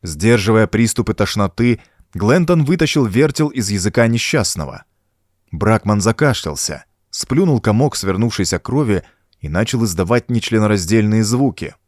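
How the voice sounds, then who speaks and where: A man narrating a horror story as an audiobook, reading the scripted prose in a suspenseful, dramatic and expressive storytelling manner. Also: lowish voice, moderate pace, no room sound, studio quality.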